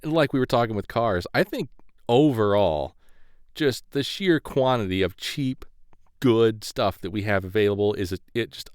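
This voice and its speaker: A man's voice: fairly low pitch, expressive, moderate pace, clear, resonant tone, no room sound, clean studio sound. A middle-aged man co-hosting a podcast, chatting casually in a rambling, self-deprecating way. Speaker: podcast co-host. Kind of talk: casual chat.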